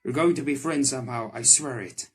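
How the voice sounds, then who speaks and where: A man's voice: medium pitch, a little fast, husky, lightly acted. Man acting flustered, apologetic young magician. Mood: dejected, then determined. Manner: hesitant, rambling, apologetic. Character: flustered, kind-hearted young male magician, apologetic tinkerer. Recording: background music under the voice.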